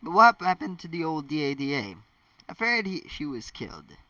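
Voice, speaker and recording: A man's voice: a little high, very animated, moderate pace, bright, forceful tone, no echo, full character voice. A man reading a storybook's new professor, polite, hesitant and puzzled. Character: new male professor, courteous but puzzled. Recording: distant mic, dull lo-fi sound.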